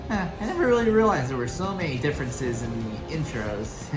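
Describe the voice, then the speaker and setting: Young woman, extremely low pitch, rambling off-the-cuff commentary, music clearly behind. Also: big pitch swings, fairly quick, roomy sound, rough recording. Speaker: reaction video host. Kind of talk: casual unscripted reaction commentary. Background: music from the intros being watched.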